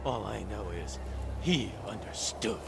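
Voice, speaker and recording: A man's voice: a little slow, vocal fry, loud music behind the voice. An actor playing a bitter middle-aged fisherman villain in a video game, anguished and desperate. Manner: anguished, swinging between low and loud.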